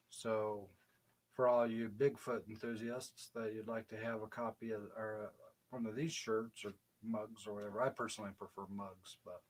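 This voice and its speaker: A soft-spoken man co-hosting a casual livestream chat, talking quietly and a little away from a shared microphone. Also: on the low side, low-quality recording. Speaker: co-host. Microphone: shared desk mic, off-axis.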